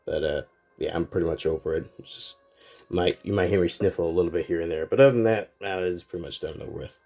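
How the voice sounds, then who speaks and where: A man's voice: deep voice, fast talker, soft background music. A man casually reviewing a toy set, sounding congested and a little nasal from a cold, rambling with plenty of fillers. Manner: rambling, relaxed, lots of fillers.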